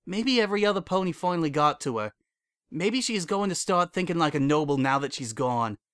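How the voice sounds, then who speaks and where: A man voicing a heartbroken young female pony who speaks in a cold, flat tone that breaks into sobbing, in a dry recording. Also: on the high side, brisk, clear, resonant tone, no room sound, pitched above the performer's own voice. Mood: flat, bitter.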